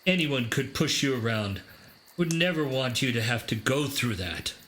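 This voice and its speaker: A man's voice: medium pitch, expressive, normal speed, a bit bright, a little hiss or hum, natural voice. A man acting in a radio play as a protective, doting crime-boss father, earnest and paternal. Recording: faint music bed.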